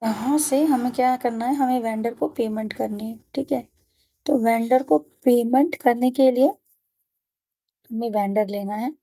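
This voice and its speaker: Female trainer, relaxed and warm timbre, slightly high voice, conversational screen-tutorial delivery. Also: a little slow. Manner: instructional, often checking in with "okay". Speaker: software trainer. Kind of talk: step-by-step screen tutorial, informal spoken explanation.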